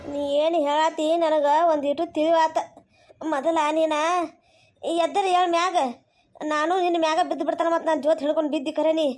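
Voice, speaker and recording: A woman's voice: sits high, flat delivery, fairly quick, a little mellow, soft background music, close to an everyday voice. A woman voicing a blunt, baffled village mother-in-law in a cartoon comedy, with a North Karnataka accent, nagging with repeated questions. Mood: exasperated, baffled.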